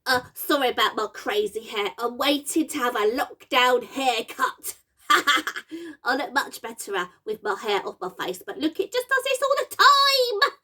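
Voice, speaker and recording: A woman voicing a cheeky, shouty boy puppet who fancies himself a rock star in a children's puppet show. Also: sits high, very animated, unhurried, pitched below the performer's own voice, heavily acted. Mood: sheepish, friendly.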